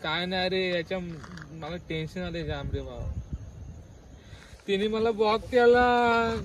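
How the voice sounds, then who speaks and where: A man's voice: sits high, a little slow. A young man pleads down the phone in a casual prank call. He rambles as if drunk and heartbroken, over faint noise on an amateur vlog recording. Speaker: prank caller, vlogger. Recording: noisy amateur phone-shot vlog audio.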